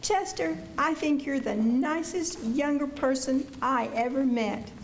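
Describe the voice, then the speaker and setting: A woman playing an excitable, sweet young housekeeper in a radio drama, speaking politely and earnestly. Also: sits high, a little slow. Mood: excited, grateful. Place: school auditorium stage. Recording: live stage recording, faint noise.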